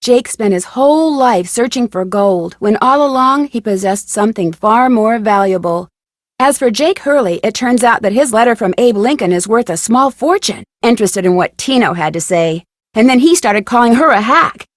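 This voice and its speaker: A woman's voice: on the high side, expressive, moderate pace, no echo, studio quality, close to an everyday voice. A woman playing a plucky, wry young amateur detective, chattily narrating her letters in a video game. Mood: wry, chatty. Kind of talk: scripted game narration, reading letters aloud.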